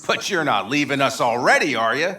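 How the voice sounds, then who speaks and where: A friendly nomad man in a video game, with a bright, forceful voice. He talks casually and caringly, sounding a little way off from the mic, with guitar music behind. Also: medium pitch, expressive, a little fast, recorded from a distance. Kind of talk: naturalistic video game acting.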